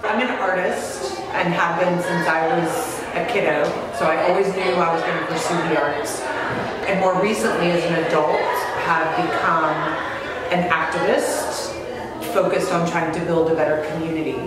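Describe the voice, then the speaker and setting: A woman's voice: lowish voice, moderate pace, very noisy. A middle-aged woman from Florida, a gallery director, talking animatedly in an interview. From Jacksonville, Florida. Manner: animated, gestures while talking. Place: gallery room, indoors. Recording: echoey room.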